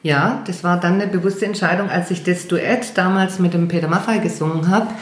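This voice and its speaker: A woman's voice: fairly low pitch, brisk. A German woman singer, chatting in an interview, hesitant and self-deprecating with many fillers. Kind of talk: casual interview chat. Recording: echoey room.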